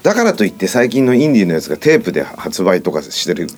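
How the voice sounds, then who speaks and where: A man's voice: deep voice, very animated, very fast. A middle-aged man chatting casually and telling stories in a blunt, colloquial way on a studio podcast. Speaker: podcast host and musician. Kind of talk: casual chat.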